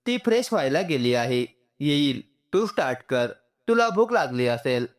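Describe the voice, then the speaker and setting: A man's voice: on the high side, sing-song, moderate pace. A man voicing a polite, friendly young man in a story, casual and sincere, mixing in English words.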